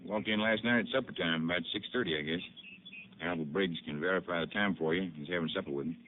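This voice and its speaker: A man's voice: deep voice, quick pace, lightly acted. An actor playing a nervous, evasive male rancher with a hesitant, defensive country drawl in a 1950s radio drama. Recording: tinny lo-fi old radio broadcast with faint hiss.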